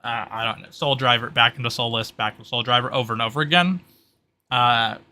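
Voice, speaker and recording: A man's voice: mid-range voice, fairly quick, pushed and bright. A man acting as game master, explaining tabletop rules in a casual, loosely hedged way.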